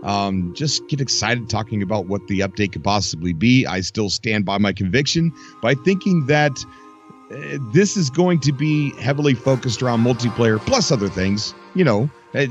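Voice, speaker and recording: Guy rambling on his live stream, upbeat, voice kinda rough from getting over losing it, dull audio w faint music behind. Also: on the low side, animated, moderate pace. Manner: chatty, rambling, upbeat, greets chat viewers by name. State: voice recovering from loss, skipping a beat. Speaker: host of a live gaming stream. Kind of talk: casual live-stream chat. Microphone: desk mic. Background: faint game music.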